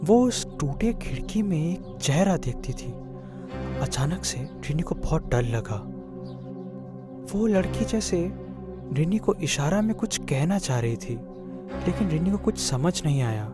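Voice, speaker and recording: Male narrator for a spooky kids' tale, fairly high voice, music clearly underneath. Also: sing-song, unhurried. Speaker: story narrator. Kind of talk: scripted narration of a spooky children's story. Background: background music.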